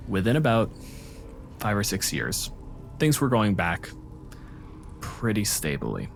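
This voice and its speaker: Low-voiced, unhurried man narrating a game. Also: wildly swooping pitch, gravelly, slight background noise. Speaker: game master. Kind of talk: improvised tabletop game narration.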